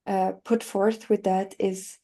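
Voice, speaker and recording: A young woman in her twenties, a native German speaker, explains her language-learning method in an interview. Her voice is mellow and warm at an ordinary middle pitch. She talks eagerly and lays out each step with examples. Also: flat delivery, takes it slowly. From Northern Italy. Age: 20s. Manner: enthusiastic, explanatory, walks through steps with examples. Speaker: language coach. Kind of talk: interview conversation.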